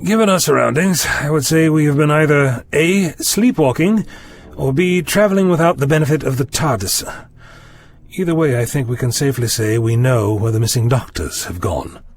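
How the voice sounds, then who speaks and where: An actor playing a quick-witted, wry, heroic time traveller in an audio drama. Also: male voice, a little high, lightly acted. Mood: wry, composed.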